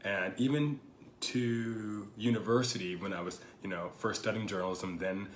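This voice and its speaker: A man hosting his own podcast, talking casually and reflectively with lots of fillers, his voice a little hoarse from a lingering cold. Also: fairly low pitch, fairly even intonation, moderate pace. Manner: thoughtful, rambling, full of "you know" fillers. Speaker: podcast host. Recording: echoey room with faint background noise.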